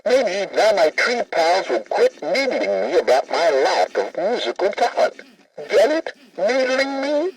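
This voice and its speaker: A man's voice: high voice, wildly swooping pitch, unhurried, husky, exaggerated voice. A man voicing a vain, jolly talking Christmas tree toy, hammy and full of puns, on a muffled, poorly recorded cassette. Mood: jokey, chummy. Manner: hammy, boastful, jokey, punning. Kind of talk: scripted novelty toy patter and carol singing.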